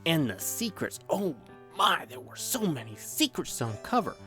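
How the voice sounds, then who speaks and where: A man's voice: fairly high pitch, very animated, moderate pace, sharp tone. A man in his thirties narrating a scripted comedic video game review with exaggerated, playful delivery. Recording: background music under the voice. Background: music.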